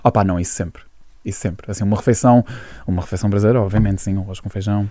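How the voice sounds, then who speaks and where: A man's voice: lowish voice, no room sound, clean studio sound. A young adult man from Brazil with a European Portuguese accent, a singer chatting casually as a radio interview guest. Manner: relaxed, quick to laugh, chatty with restarts.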